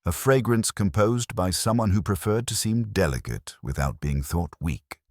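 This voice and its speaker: Very deep, husky male narrator. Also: animated, no room sound, studio quality. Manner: measured, formal storytelling. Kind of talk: scripted literary audiobook narration.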